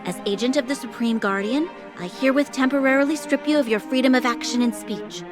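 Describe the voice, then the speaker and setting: A woman's voice: high voice, brisk, music in the background, lightly acted. A woman voicing a stern, dignified young military commander in a video game, making a formal, solemn proclamation with authority. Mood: stern, commanding.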